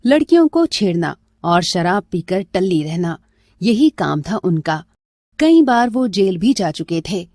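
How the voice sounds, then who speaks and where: A woman's voice: medium pitch, darkish tone, no room sound, clean studio sound. A woman narrating a scripted story as a storyteller.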